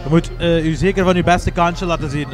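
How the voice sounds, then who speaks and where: Male co-commentator, Flemish accent, slightly high pitch, live fight-night commentary. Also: steady tone, a little fast. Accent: Flemish Belgian.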